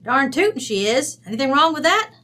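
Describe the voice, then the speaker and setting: A woman's voice: high voice, sing-song, brisk, a bit bright. A woman playing a brash, folksy, middle-aged rancher widow with an American West accent, blunt, bossy and slangy, in an old-time radio drama. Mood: breezy, blunt.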